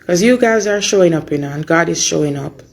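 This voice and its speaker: A woman with a Jamaican accent and a hoarse voice, giving an informal, earnest update to supporters and repeating phrases for emphasis. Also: lowish voice, lively intonation, fast, darkish tone. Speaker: mother giving an update on her daughter.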